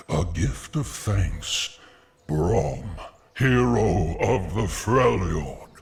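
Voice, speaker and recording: An actor playing an ancient, benevolent nature spirit in a fantasy game, gravelly, solemn and formal. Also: male voice, deep voice, darkish tone, exaggerated voice.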